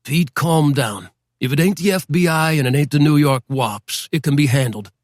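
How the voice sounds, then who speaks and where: A man voicing a calm, assured mob boss who speaks slowly. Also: fairly high pitch, no echo, close to an everyday voice.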